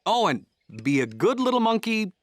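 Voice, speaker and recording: A man voicing a kindly, encouraging grown-up guardian in a children's cartoon, gently instructive in manner. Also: high voice, sing-song, moderate pace. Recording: music behind the voice.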